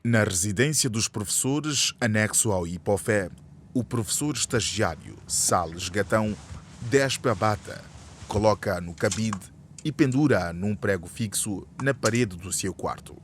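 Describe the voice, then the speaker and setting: A man with a Mozambican accent narrating a scripted radio drama in a measured storytelling manner. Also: fairly low pitch, animated, a little hiss or hum.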